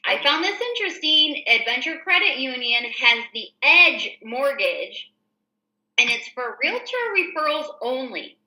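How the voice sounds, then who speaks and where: American female host, very bright voice, informal and explanatory, recorded in an echoey room. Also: high-pitched, very animated, unhurried. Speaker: show host and real estate agent. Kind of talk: casual reading of a newsletter with commentary.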